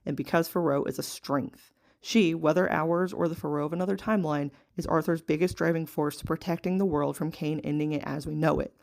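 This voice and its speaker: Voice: mid-range voice, fairly even intonation, a little fast, vocal fry, darkish tone. A podcast listener reading out a prepared argument as a recorded voice submission. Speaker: listener sending a voice message. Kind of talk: prepared argument read aloud.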